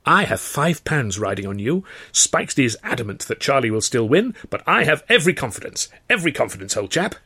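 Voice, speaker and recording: A man voicing an excitable upper-class gentleman, gushing and effusive, with old-fashioned genteel phrasing. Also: medium pitch, brisk, husky, pitched above the performer's own voice, full character voice. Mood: confident.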